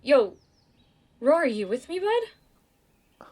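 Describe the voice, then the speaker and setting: An actor playing an excitable, slangy young viewer in an audio drama. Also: high-pitched, very slow, exaggerated voice. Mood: stunned, excited.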